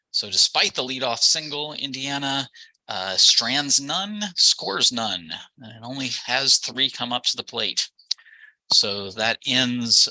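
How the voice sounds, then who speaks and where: A man's voice: mid-range voice, bright, forceful tone, no echo, low-quality recording. A man doing live baseball play-by-play commentary as the game's announcer.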